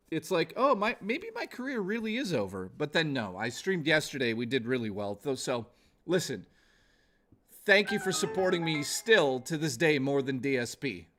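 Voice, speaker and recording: A man's voice: mid-range voice, a bit bright. Guy in his 40s streaming games, just chatting w viewers, sweary and exclamatory, medium voice. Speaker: gaming livestreamer. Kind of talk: casual livestream chat with viewers.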